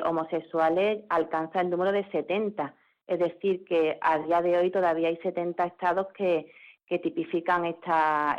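A woman's voice: fairly low pitch, muffled recording. A woman lawyer who is a guest on a radio show, explaining at length and often restarting her phrases. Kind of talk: radio interview.

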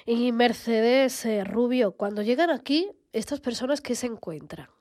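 A woman hosting a radio show who introduces topics and asks her guest questions in a composed, clear way. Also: on the high side, expressive, measured pace, warm tone, dry recording, studio quality. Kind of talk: radio interview.

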